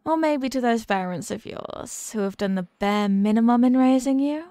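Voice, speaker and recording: A woman's voice: on the high side, mellow, dry recording, clean studio sound, natural voice. A woman voicing a condescending, sadistic and possessive demon queen who mocks the human listener in a scripted ASMR roleplay. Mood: scornful. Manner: condescending, mocking, deliberate and teasing.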